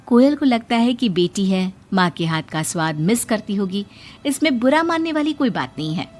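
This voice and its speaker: A woman's voice: mid-range voice, sing-song, moderate pace, faint music behind. A woman reading a scripted moral story as its narrator.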